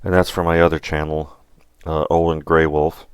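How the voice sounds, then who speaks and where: Middle-aged man, casual livestream host, clean resonant voice, dry close sound, halting and rambling. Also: very deep voice, little pitch movement, smooth, no echo.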